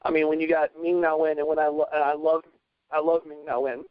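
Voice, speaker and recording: A man's voice: a little high, level tone, a little fast, dry recording, highs cut off. A man giving his opinions as a panel guest, casual, explanatory and long-winded.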